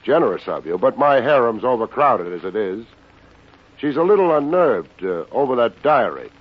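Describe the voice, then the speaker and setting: An actor playing a wisecracking, unsentimental private investigator in an old radio detective drama. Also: male voice, medium pitch, big pitch swings, moderate pace, husky. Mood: brisk, wry. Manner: wry, hard-boiled, slangy. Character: wisecracking, unsentimental male private investigator narrating his case. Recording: dull, noisy old radio recording.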